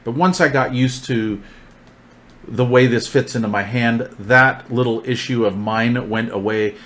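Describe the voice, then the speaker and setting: A man's voice: mid-range voice, fairly even intonation, measured pace, fairly bright tone. A man reviewing gear hands-on in a casual, informal, folksy way, often repeating his phrases.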